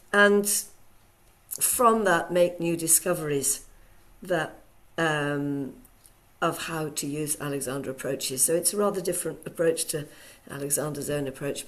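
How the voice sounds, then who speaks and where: A middle-aged woman with a croaky, hoarse voice from a cold, teaching an online workshop over a video call in a chatty way full of ums. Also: low voice. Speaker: Alexander Technique teacher.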